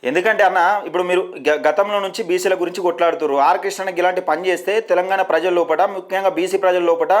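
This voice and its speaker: Male journalist, Telangana Telugu accent. High register, extremely quick, presses his point repeatedly while staying deferential on a phone call. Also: fairly even intonation. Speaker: journalist on a live show, calling a political leader. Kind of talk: argumentative phone discussion.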